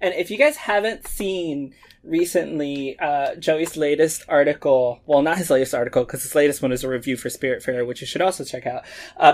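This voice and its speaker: A man hosting a gaming podcast, talking in a casual, upbeat and excitable way. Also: fairly high pitch. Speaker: podcast host.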